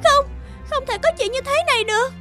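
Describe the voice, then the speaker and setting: A woman's voice: very high voice, brisk, pushed and bright, soft background music. A woman playing a vain, spoiled young married lady in a cartoon, dramatic and haughty. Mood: shocked. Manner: dismissive, dramatic. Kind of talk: animated cartoon voice acting.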